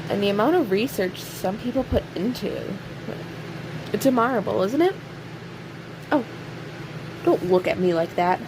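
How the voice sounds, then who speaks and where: A woman playing a snarky, cocky imprisoned serial killer in an audio roleplay, sarcastic and teasing, with pointed pauses. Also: medium pitch, natural voice. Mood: sardonic, smug. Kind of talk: audio roleplay acting, addressing an unheard listener. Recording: steady clear background hiss or hum.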